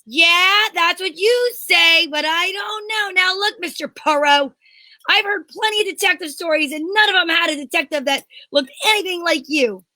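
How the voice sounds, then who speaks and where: A woman's voice: extremely high pitch, normal speed, bright, forceful tone, no room sound, exaggerated voice. A woman playing a feisty, indignant young mademoiselle, pert and flustered, in an amateur 1940s radio mystery reading. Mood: defiant.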